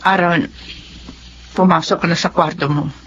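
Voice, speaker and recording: A woman's voice: on the low side, steady tone, moderate pace, a little hiss or hum, close to an everyday voice. A long-suffering middle-aged wife and mother played by a woman in a Filipino radio drama. She is earnest, firm and reproachful. The recording is dull and lo-fi. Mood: troubled.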